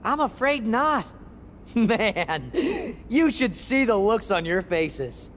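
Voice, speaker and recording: An actor playing a smarmy, cowardly wrestling-show producer in a video game, with theatrical delivery. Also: male voice, high voice, big pitch swings, takes it slowly, fairly bright tone, full character voice. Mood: smug. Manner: theatrical, smug or pleading. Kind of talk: video game cutscene acting. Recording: echoey hall with faint noise.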